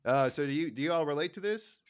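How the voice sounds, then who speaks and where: A man's voice: on the high side, expressive, fast, clean tone. A man hosting a podcast, casually recapping a TV episode with acted-out quotes and frequent laughs. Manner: jokey, laughs often while retelling. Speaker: podcast host.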